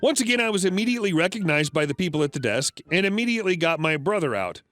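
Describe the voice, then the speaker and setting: A man with a high-pitched voice reads reddit stories aloud in a scripted narration. His delivery is flat and even, broken by sudden jumps in pitch. Faint music plays quietly behind him. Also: fast, dry recording.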